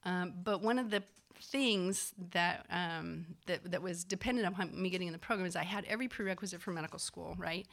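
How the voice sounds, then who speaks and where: A woman, a physician assistant, telling a personal story in a casual, animated way, acting out the people she quotes, with lots of fillers. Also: fairly low pitch, fairly quick, gravelly, few pauses.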